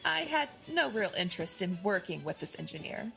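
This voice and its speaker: A woman's voice: mid-range voice, sing-song, brisk, vocal fry, bright, forceful tone, faint music behind, heavily acted, low-quality recording. A woman playing a shrewd, menacing crime boss in an audio drama, articulate, mocking and commanding. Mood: cool, commanding.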